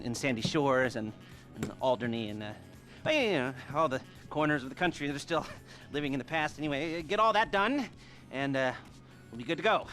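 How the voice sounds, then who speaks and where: An actor playing an eccentric, excitable, scatterbrained criminal planner in a video game, rambling, stammering and gushing with a nervous giggly delivery. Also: male voice, mid-range voice, lightly acted. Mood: rambling, breezy. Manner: rambling, halting, stammering, effusive. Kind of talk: video game cutscene acting.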